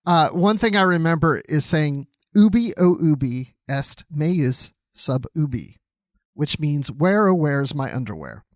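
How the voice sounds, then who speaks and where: Male podcast co-host, slightly high voice, casual and chatty, agreeable delivery, close dry sound. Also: very animated, measured pace, no room sound.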